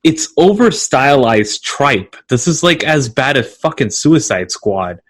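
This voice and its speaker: A man's voice: medium pitch, sing-song, a little slow. A man co-hosting a podcast, talking casually with blunt, crude opinions. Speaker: podcast co-host. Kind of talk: casual chat.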